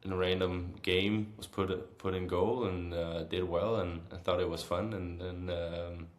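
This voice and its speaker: A young man with a Danish accent and a low voice speaks in a very flat, unhurried way. He pauses often with hesitant fillers as he answers casually as an interview guest. The sound is roomy and echoing. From Copenhagen, Denmark. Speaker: interview guest, football goalkeeper.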